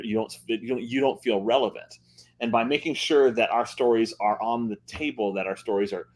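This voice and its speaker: A man author speaking earnestly on a book panel, repeating words as he thinks. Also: lowish voice.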